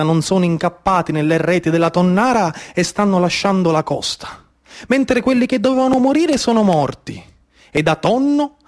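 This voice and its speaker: Sicilian man storytelling in a high-pitched voice. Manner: incantatory, rhythmic, repeats phrases. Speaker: theatre storyteller and actor. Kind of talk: dramatic theatrical monologue in dialect.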